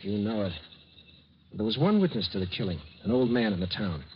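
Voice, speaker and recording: Anxious fast-talking ex-soldier. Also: male voice, lowish voice, sing-song. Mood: tense, recounting. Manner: earnest, anxious, clipped sentences. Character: frightened young war-veteran college student, earnest and shaken. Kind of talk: 1950s radio drama acting. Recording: muffled, noisy old radio recording.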